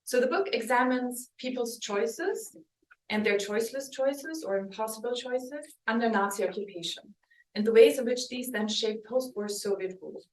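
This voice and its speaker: A woman's voice: fairly high pitch, animated, moderate pace. Thoughtful woman lecturing in a roomy hall. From West Germany. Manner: thoughtful, hesitant, with restarts and ums. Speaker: historian giving a book talk. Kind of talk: academic lecture with slides. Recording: roomy, mic at a distance.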